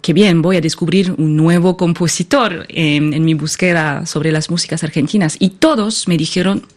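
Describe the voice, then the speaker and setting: A woman's voice: lowish voice, moderate pace. French woman, thoughtful interview guest. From France. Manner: thoughtful, searching for words, frequent restarts. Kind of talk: conversational interview.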